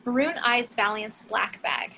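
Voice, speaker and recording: A woman's voice: fairly high pitch, unhurried, vocal fry, pushed and bright, rough recording. A woman reading screenplay action and scene headings as scripted narration.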